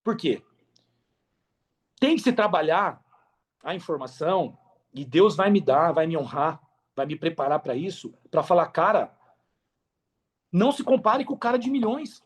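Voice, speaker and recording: Brazilian male podcast guest, high voice, casual and emphatic delivery. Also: big pitch swings, unhurried, long pauses, slightly rough. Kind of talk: casual interview talk.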